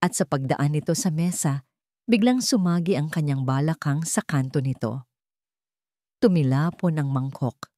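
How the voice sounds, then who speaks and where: A woman's voice: deep voice, normal speed, mellow, dry recording, clean studio sound. A woman reading a scripted dramatic story as its narrator.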